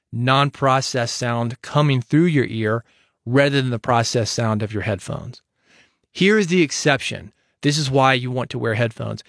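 A man's voice: mid-range voice, dry recording. A man hosting his own podcast alone, giving direct, confident advice into a studio microphone. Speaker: podcast host. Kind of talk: solo podcast talk.

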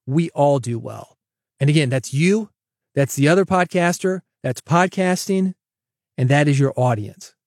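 A man's voice: medium pitch, a little mellow, no echo, studio quality. A man hosting his own solo podcast, talking casually and coaching listeners, often calling them "man". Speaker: podcast host.

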